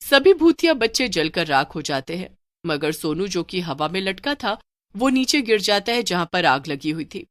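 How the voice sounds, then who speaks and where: A woman's voice: on the low side, sing-song, normal speed, fairly bright tone, dry recording, clean studio sound. A woman narrating a scripted cartoon horror story as a storyteller.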